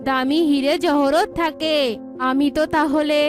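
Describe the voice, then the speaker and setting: A woman's voice: high voice, fairly even intonation, unhurried. A woman voicing a poor, self-pitying, melodramatic fisherman pigeon in a cartoon. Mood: relieved wonder. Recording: faint music behind the voice.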